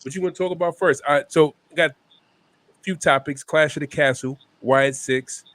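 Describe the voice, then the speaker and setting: A man's voice: a little high, normal speed, a bit bright, dry recording. A man with a stuffy head cold hosting a laid-back wrestling talk show, tossing "man" into almost every line. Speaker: podcast host. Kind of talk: casual wrestling chat.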